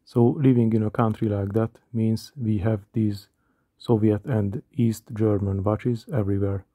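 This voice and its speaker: A man in his thirties from Hungary with a Hungarian accent, calmly narrating a scripted voice-over about watches. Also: lowish voice, unhurried, dry recording, phone-quality sound. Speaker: watch collector.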